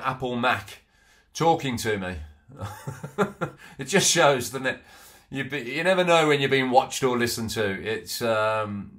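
A man's voice: medium pitch, sharp tone. A middle-aged man, chatting casually through an antiques haul with frequent ums and restarts, filmed at a home office desk. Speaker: antiques reseller. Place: small home office, indoors.

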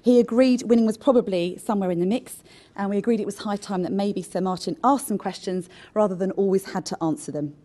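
Event chair, woman in her thirties, fast and formal at the lectern. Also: medium pitch, mellow. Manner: brisk, formal. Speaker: event chair introducing a session. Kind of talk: scripted welcome speech. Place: conference stage at a lectern. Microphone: lectern mic.

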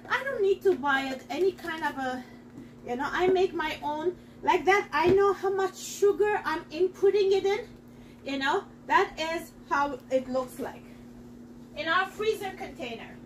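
A middle-aged woman hosting a live cooking demonstration in her home kitchen, talking to viewers in a chatty, excitable way. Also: extremely high pitch, a little slow, recorded from a distance, a little hiss or hum, rough recording. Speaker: host selling kitchenware. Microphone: camera across the counter.

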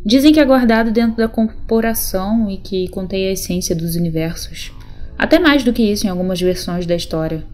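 A woman's voice: mid-range voice, fairly quick, a little mellow, close to an everyday voice. A woman voicing a sarcastic, skeptical resistance fighter.

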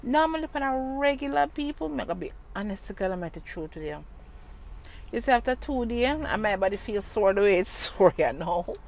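A woman's voice: medium pitch, lively intonation, brisk, creaky, darkish tone. A middle-aged woman, talking casually to her phone camera from inside a car, emphatic and repetitive, speaking into a wired earphone mic. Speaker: vlogger. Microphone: wired earphone mic, phone held close. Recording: car and street noise.

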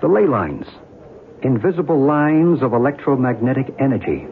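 Knowing male mystic guide, very lively intonation. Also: medium pitch, moderate pace. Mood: assured explaining. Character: knowing, patient male UFO believer and guide. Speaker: radio drama actor. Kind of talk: 1970s radio drama acting. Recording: tinny vintage radio broadcast, some noise.

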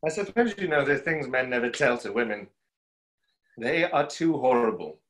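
Guy acting a bitter young magician, bright forceful voice, big swings, very theatrical stage play stuff. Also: mid-range voice, expressive, moderate pace, natural voice. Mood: grave, confessing. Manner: theatrical, emphatic delivery. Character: impassioned, tormented young conjurer, bitter and lovestruck. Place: small room at home, video call.

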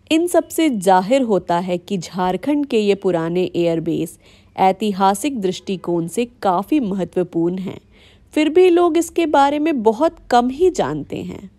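Mellow Indian woman narrating a vlog. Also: on the low side. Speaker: vlogger narrating. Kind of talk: scripted documentary-style narration.

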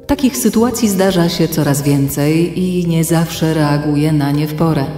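A woman playing a calm, concerned internet guardian in a children's radio play, explaining things earnestly. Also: low-pitched, measured pace. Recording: echoey long reverb, faint music.

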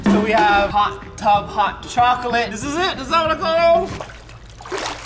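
A young man hosting a goofy challenge vlog, joking and giggling as he talks to viewers from a hot tub on an indoor set. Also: very high voice. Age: young adult. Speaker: YouTube host.

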